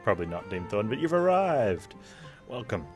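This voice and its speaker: A man's voice: lowish voice, very animated, moderate pace. A man livestreaming a video game with casual, wry, teasing commentary. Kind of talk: casual live commentary over gameplay. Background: faint game music.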